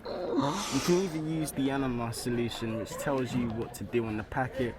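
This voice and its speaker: A bossy, supportive teenage boy played by a man in a naturalistic radio drama, with clear chatter of people around him. His mid-pitched voice is casual and blunt, with a teasing edge toward his mate. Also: moderate pace, close to an everyday voice. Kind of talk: naturalistic radio play dialogue.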